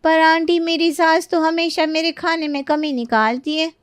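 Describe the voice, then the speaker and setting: A woman's voice: extremely high pitch, steady tone, moderate pace, no room sound, voice pushed up. A woman voicing a sweet, put-upon young daughter-in-law who chats girlishly in a moral storybook tale. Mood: apologetic, complaining. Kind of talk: animated moral storybook dialogue.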